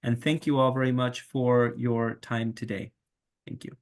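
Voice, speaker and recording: A man's voice: mid-range voice, little pitch movement, a little slow. A man chairing a virtual meeting, giving friendly closing remarks with fillers. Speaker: session chair.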